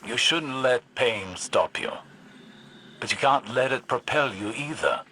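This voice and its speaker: A stern, measured mentor. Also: male voice, medium pitch, a little hiss or hum. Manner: calm, measured, commanding. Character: stern wise male mentor and mission overseer. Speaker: mission guide character in a video game. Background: game music.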